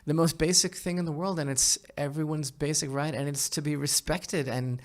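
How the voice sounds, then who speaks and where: Emphatic, halting male podcast co-host. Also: fairly high pitch, steady tone. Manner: emphatic, halting, piles up synonyms. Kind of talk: conversational podcast commentary.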